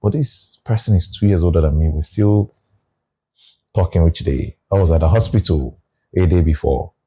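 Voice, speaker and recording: A man's voice: sits low, moderate pace, dark tone, breathy edge. A Nigerian man in his forties with a Nigerian English accent, speaking as an interview guest and reflecting aloud in casual conversation.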